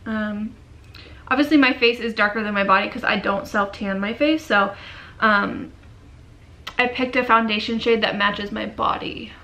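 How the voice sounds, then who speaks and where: A young woman in her twenties with a cold, chatting casually through a makeup tutorial in a dim bedroom, often trailing off into quiet murmurs. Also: mid-range voice, fairly even intonation, moderate pace. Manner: chatty, filler-heavy, drifts into quiet murmurs while working. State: sick and sniffly. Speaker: beauty vlogger.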